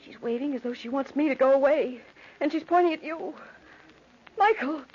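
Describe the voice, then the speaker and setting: Young fiancée played by a woman: high, fretful, earnest voice, vintage radio melodrama, muffled recording. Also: moderate pace, darkish tone, lightly acted. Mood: alarmed. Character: anxious, devoted young bride-to-be. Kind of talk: old-time radio drama acting.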